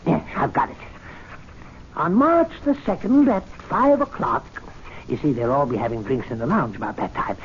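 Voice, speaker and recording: An actor playing an indignant, fussy, excitable club servant in an old radio drama, given to muttering and theatrical outbursts. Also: male voice, a little high, heavily acted. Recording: muffled, noisy old radio drama recording.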